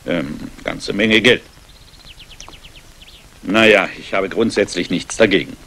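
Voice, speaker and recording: An actor playing a courteous, guarded English aristocrat investor in a 1960s German crime radio play. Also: male voice, sits low, slow pace, gravelly, a bit bright, slight background noise, exaggerated voice. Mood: confiding. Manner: courteous, urbane.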